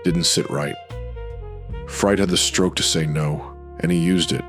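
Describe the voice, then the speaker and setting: Super deep guy voice narrating a spooky radio drama, measured and ominous, music playing behind him. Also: steady tone. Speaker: narrator and host of horror anthology.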